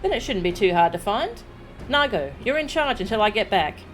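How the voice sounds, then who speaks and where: A woman acts out a headstrong young female rebel leader from a video game. She is emphatic and emotional, quick to exclaim, at a medium pitch over faint music. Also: very animated, a bit bright. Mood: reluctant, resolute. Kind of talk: dramatic read-aloud of video game dialogue.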